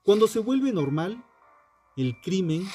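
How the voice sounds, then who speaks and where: Slow, mellow man narrating true crime. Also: sits high, sing-song, faint music behind. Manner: solemn, dramatic short phrases with emphatic pauses.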